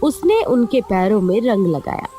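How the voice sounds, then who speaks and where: A woman's voice: medium pitch, very animated, normal speed, mellow, slight background noise. A woman narrating a scripted cartoon moral story as a storyteller.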